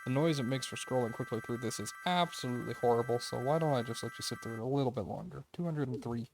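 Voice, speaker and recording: A man's voice: mid-range voice, fast talker, creaky, music in the background. A man hosting a game review video with casual, tentative, unscripted commentary, thinking aloud as he goes.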